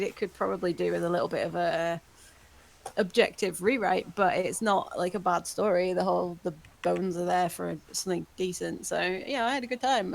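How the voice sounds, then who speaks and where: A woman's voice: on the low side, vocal fry, low-quality recording. A woman giving hesitant, casual feedback on a script in an online chat. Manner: hesitant, filler-heavy. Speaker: table read participant.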